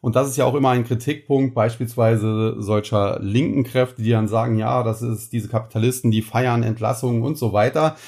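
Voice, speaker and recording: A man's voice: medium pitch, fairly even intonation, brisk, few pauses, darkish tone. A man with a cold, hosting a podcast and explaining stock market news casually, with frequent filler sounds. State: has a cold. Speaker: podcast host.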